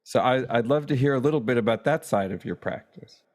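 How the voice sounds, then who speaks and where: A man's voice: medium pitch, expressive, quick pace, darkish tone. A man hosting a music podcast, chatting in an easygoing, enthusiastic way with his guest. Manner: easygoing, enthusiastic, laughs easily. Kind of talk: casual conversation.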